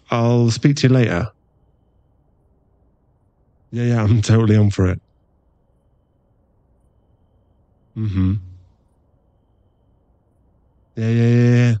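A man's voice: medium pitch, slow, pauses often, mellow, dry recording, highs cut off, lightly acted. A man playing a playful, affectionate, laddish werewolf boyfriend in a casual, teasing ASMR roleplay. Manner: casual, laddish, teasing, drops into murmured asides.

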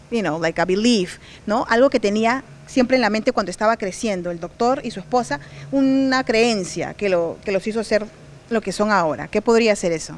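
A woman hosting an interview, chatty and upbeat, switching languages to repeat her questions into a handheld mic outdoors. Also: mid-range voice. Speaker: host and interviewer. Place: outdoors on the porch of a large unfinished house.

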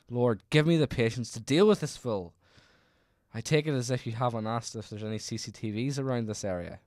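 Male actor for exasperated professional detective inspector, radio drama. Mid-pitched, precise, very formal diction, no slang. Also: normal speed, long pauses, darkish tone, no echo, clean studio sound, natural voice. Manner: formal, clipped, no contractions. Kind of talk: amateur radio drama acting.

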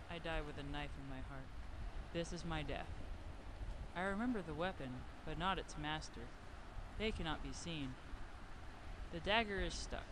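A woman's voice: deep voice, background noise. A woman voicing a sardonic young female demon adventurer with a dry, complaining manner in a motion comic radio play. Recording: music behind the voice.

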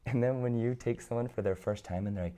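A young man in his twenties, hoarse from being sick, chatting easygoing as an interview guest on a lapel mic in a large indoor studio. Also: medium pitch, quick pace, mellow. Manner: easygoing, many fillers and restarts. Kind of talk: casual interview chat.

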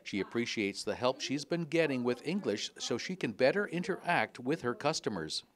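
A man narrating a scripted local news report in a measured, upbeat way. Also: mid-range voice, lively intonation.

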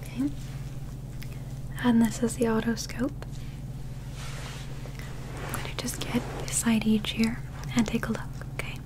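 A young woman whispering gently and unhurriedly in an ASMR medical roleplay, playing an examiner close to the microphone. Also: fairly high pitch, flat delivery, measured pace, pauses often, background noise. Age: young adult. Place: small indoor set.

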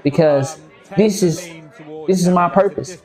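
A man in his thirties with a fairly high voice preaches to his live chat about purpose and self-worth. He repeats his points and calls his viewers "y'all." His voice sits a little above most men's. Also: unhurried, darkish tone. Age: 30s. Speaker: game streamer. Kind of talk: casual motivational talk to a live chat.

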